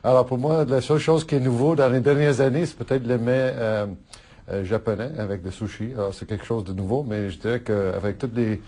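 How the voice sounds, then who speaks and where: A middle-aged man whose first language is Italian, answering interview questions in a halting way with many fillers and restarts, laughing often. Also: mid-range voice, steady tone, mellow. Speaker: politician interviewee.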